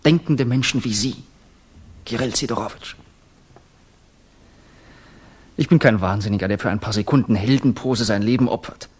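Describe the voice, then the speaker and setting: Radio play: fervent student assassin, Russian, husky voice, earnest and intense. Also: male voice, mid-range voice. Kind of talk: German radio play acting.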